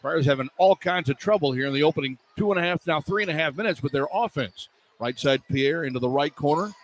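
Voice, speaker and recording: Clear arena noise surrounds a man's fairly high voice. He is a radio play-by-play announcer following a basketball game live, rattling off the action in short phrases from courtside. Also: fast talker. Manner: clipped running description, excited on key plays. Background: arena ambience.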